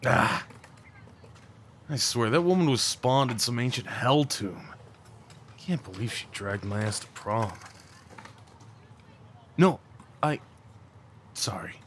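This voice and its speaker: A man acting a young small-town guy, an awkward and tender old friend, in a casual, halting, intimate audio roleplay. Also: medium pitch, lively intonation, fairly bright tone, slight background noise. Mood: fond, nostalgic. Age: young adult. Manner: halting, stammering, slangy, chuckling.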